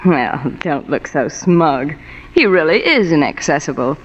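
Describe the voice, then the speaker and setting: Coy, lilting 1940s radio femme fatale. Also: female voice, sits low, lively intonation, measured pace, slight background noise. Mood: coy, smooth. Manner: coy, polished, teasing. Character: sultry, wealthy, teasing femme fatale wife. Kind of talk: 1940s radio noir acting. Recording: muffled, reverberant old radio broadcast.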